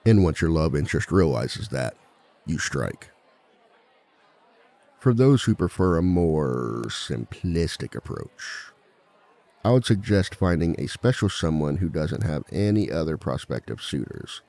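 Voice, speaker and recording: Very deep, gravelly villain lecturer, played by a man. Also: big pitch swings, darkish tone, some chatter behind, exaggerated voice. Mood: composed, instructive. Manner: measured, articulate, formal, dryly self-assured. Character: reformed male villain giving a polished seminar on romance. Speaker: seminar speaker and relationship expert. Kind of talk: scripted comedic lecture roleplay.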